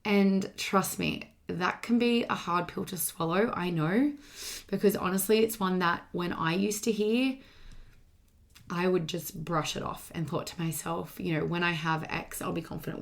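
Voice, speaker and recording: A woman with a slightly low voice delivers a motivational solo podcast monologue. She talks like a coach, casual but emphatic, and restates her main points. Her voice sounds a touch strained, as if not at full strength. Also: steady tone, normal speed, gravelly. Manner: emphatic, repeats key points, casual swearing. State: voice slightly strained from partly losing it.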